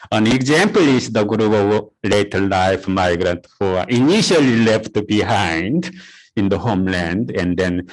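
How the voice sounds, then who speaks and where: A middle-aged man with a Korean accent gives a conference lecture in a very low, deep voice. English is not his first language, and he often stops to repeat words and restart his sentences. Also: low-quality recording. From South Korea.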